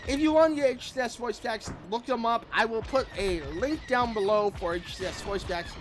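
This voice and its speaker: A man's voice: very high-pitched, normal speed, background noise. A man giving casual video commentary over gameplay, rambling and often repeating his phrases. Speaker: gaming video creator. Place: room at home. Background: background music.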